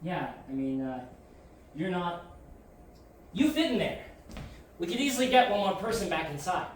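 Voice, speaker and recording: An actress playing a determined, evasive time traveler in a science fiction audio drama, informal and insistent. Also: female voice, lowish voice, sing-song, normal speed, recorded from a distance. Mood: evasive, explaining. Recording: very echoey, off-mic, faint noise.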